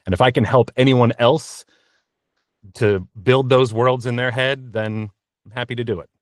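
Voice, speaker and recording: A man giving casual, conversational interview answers as a voice actor guest, often halting and correcting himself as he tells his story. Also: medium pitch, steady tone, no echo.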